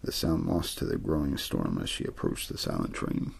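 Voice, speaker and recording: A man reading a story in ASMR style, untheatrical and meant to lull listeners to sleep, with a low, gravelly, husky voice. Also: sing-song, moderate pace, darkish tone, a little hiss or hum. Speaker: amateur story reader.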